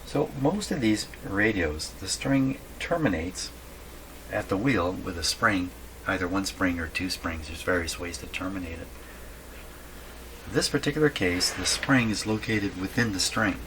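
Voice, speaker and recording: A man giving casual hands-on repair narration, halting and thinking aloud to himself as he works. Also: deep voice. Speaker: host of a radio repair channel. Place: indoors at a home workshop. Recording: clearly noisy room recording.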